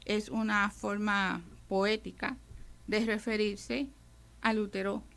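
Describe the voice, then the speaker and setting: A woman's voice: medium pitch, flat delivery, slow pace, vocal fry, fairly bright tone. A woman pastor with a Puerto Rican accent, teaching emphatically on a radio devotional program and speaking straight to her listeners. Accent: Puerto Rican Spanish. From Puerto Rico. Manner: emphatic, addresses listeners directly as brother.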